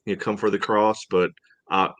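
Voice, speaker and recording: A man hosting a soccer podcast, chatting casually through match analysis in a halting way full of filler phrases. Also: on the low side, level tone, a bit bright, no room sound. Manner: halting, peppered with "you know".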